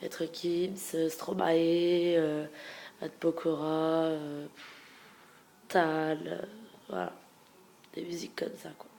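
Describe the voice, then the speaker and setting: A young woman with a stuffy head cold and a nasal, congested voice, chatting frankly through a question-and-answer video and often trailing off into soft murmurs. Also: deep voice, little pitch movement, takes it slowly, vocal fry, long pauses, slight background noise. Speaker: beauty vlogger. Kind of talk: casual Q&A tag video.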